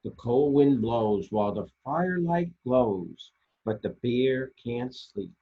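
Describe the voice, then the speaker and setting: A man reading children's picture books aloud as a storytime host, expressively and deliberately, with dramatic pauses and a husky voice. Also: medium pitch, lively intonation, phone-quality sound. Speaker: storytime reader for a library.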